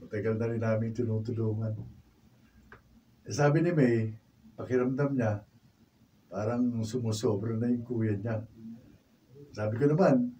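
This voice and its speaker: An actor playing a trusting, forgiving husband and friend in a Filipino radio drama, with a Filipino accent. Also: male voice, on the low side, fairly even intonation, measured pace, long pauses, warm tone, close to an everyday voice. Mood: concerned. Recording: distant mic, faint room noise.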